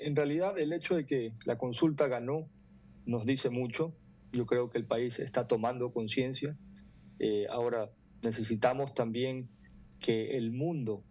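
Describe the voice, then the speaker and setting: A middle-aged man, explaining earnestly and haltingly as an interview guest on a video call. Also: medium pitch, mellow, husky. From Ecuador. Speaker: foundation president, interview guest. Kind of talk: interview answers. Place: room indoors at home or office.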